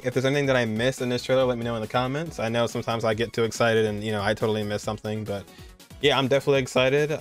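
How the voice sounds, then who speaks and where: A man, giving casual, enthusiastic reaction commentary in an informal way. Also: mid-range voice. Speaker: reaction video host.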